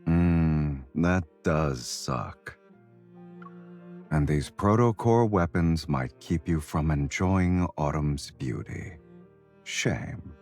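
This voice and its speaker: Super deep smooth game collector guy, teasing drawl, really swingy intonation. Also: lively intonation, extremely slow, darkish tone, faint music behind, full character voice. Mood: wry, smooth. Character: teasing, smooth, worldly male arms and antiques collector. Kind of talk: acted video game dialogue.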